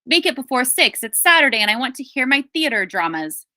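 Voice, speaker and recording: A woman's voice: sits high, big pitch swings, fairly quick, sharp tone, voice pushed up, exaggerated voice. A woman voicing a doubtful, demanding lady customer in a storybook read-aloud, with a questioning, bossy manner.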